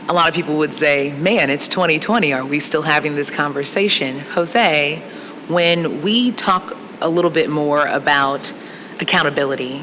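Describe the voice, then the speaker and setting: Male TV moderator, bright forceful voice, faint noise behind. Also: on the high side, rough recording. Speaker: TV interviewer. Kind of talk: moderating a group discussion.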